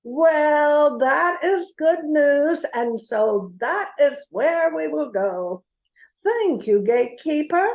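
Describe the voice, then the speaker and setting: Woman playing sweet, high-voiced polite Dorothy. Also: lively intonation, slow, darkish tone, exaggerated voice. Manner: polite, reassuring. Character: Dorothy, polite, helpful storybook girl. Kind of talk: amateur radio play acting.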